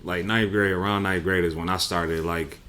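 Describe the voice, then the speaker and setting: A man with a very deep voice who hosts a podcast. He keeps things laid-back and encouraging, and he nudges the talk along with short follow-up questions. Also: fairly even intonation, moderate pace, sharp tone. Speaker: podcast host. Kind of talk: casual interview chat.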